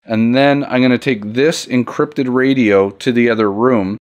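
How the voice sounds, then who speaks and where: A man's voice: mid-range voice, fairly even intonation, measured pace, dry recording. A man hosting an instructional tutorial, explaining things step by step in an informal, plain-spoken way. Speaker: tutorial host. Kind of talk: casual instructional demo, step-by-step.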